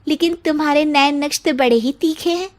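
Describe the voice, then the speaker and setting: A woman's voice: high voice, fairly quick, pitched above the performer's own voice, close to an everyday voice. A woman voicing a pretty, sociable friend in a cartoon story, sweet and wistful. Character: slim, pretty, sociable woman friend, wishing she were taller.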